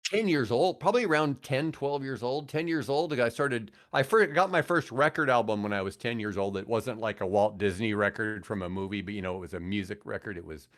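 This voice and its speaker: A man in his sixties with a fairly low voice talks as a podcast guest. He tells stories casually, wandering and rephrasing as he goes. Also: brisk, few pauses, fairly bright tone. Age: 60s. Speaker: podcast guest, former radio broadcaster. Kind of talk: casual storytelling in a podcast interview.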